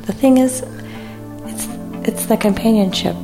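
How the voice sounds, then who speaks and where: A woman with an American accent playing a lonely, clingy vampire in improvised radio theater, halting and earnest. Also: on the high side, over music, voice pushed up. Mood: apologetic, clingy. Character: lonely, clingy, apologetic sun-shunning vampire.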